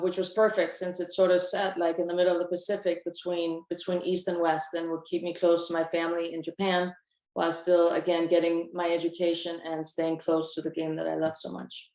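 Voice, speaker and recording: A woman from Denmark speaks on a video call with a lowish, flat, unhurried delivery. Her voice carries a noticeable echo from the room around her. She sounds composed and steady, dropping in soft "um"s between thoughts. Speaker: basketball referee, webinar speaker. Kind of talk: presentation on a webinar call.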